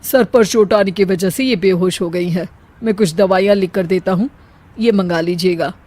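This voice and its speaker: A woman's voice: mid-range voice, moderate pace, mellow, close to an everyday voice. A woman voicing concerned, earnest men, a husband and a doctor, in a cartoon story. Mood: serious.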